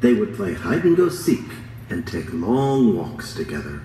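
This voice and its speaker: A lower man's voice with lively, rising and falling pitch, reading a children's picture book gently. It is played back in an echoey gym and heard from across the room, with faint room noise. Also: a little slow, distant mic. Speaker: read-aloud narrator.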